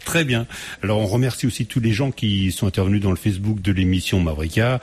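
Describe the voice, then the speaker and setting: A man's voice: on the low side, fast talker, darkish tone, breathy edge. A man hosting a live music show in a radio studio, chatty, laughing often and using many fillers.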